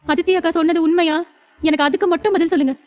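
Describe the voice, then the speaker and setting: A woman performs a distraught young wife in an audio drama. Her voice is very high and rushes along, hurt and pleading. She accuses through tears. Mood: shocked, anxious. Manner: tearful, pleading, agitated. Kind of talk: Tamil audio serial drama acting.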